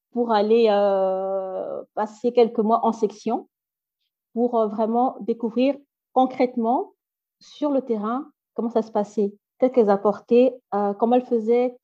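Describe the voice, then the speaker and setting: A woman in her late thirties, a podcast guest answering in a halting way with many fillers. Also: a little high, mellow, dull audio. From Belgium. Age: 30s. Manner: halting, frequent fillers and self-corrections. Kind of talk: interview answers.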